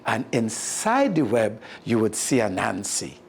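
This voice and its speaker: Caribbean storyteller, expressive read-aloud delivery, very animated pitch movement. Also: measured pace, gravelly, slight background noise.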